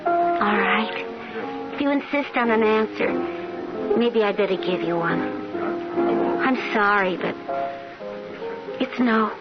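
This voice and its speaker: High-voiced hesitant young sweetheart. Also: female voice, takes it slowly, pauses often. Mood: anxious, pleading. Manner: hesitant, pleading. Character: gentle, evasive young sweetheart torn by loyalty. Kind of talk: old radio drama acting. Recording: dull lo-fi radio recording with loud music.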